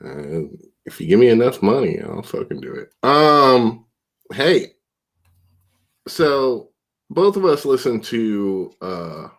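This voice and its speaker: A man's voice: medium pitch, big pitch swings, takes it slowly. A man hosting a casual podcast, stuffed up and tired, talking in a loose, profane, rambling way. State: congested, stuffed-up. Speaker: podcast host.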